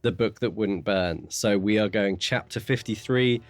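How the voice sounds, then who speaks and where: A man co-hosting a podcast in a casual book discussion, talking in a measured, explanatory and courteous way. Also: lowish voice, soft background music. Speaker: podcast co-host.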